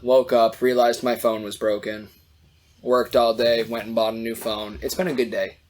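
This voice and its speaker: A young man co-hosting a casual podcast chat, a little hoarse from losing his voice, telling animated stories and swearing freely. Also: mid-range voice, normal speed, a little hiss or hum. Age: young adult. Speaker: podcast co-host.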